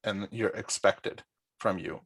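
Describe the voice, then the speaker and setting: Young man from Dubai, podcast guest. Lowish voice, dry, close sound. Explains his points and keeps checking in. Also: fairly even intonation, slow, a bit bright, husky, dry recording. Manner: explanatory, often ends points with "right?". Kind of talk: casual conversational interview.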